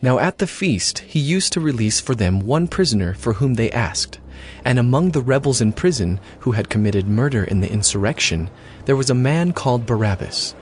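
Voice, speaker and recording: A man's voice: medium pitch, animated, moderate pace. A man reading scripted scripture narration as a drama's narrator.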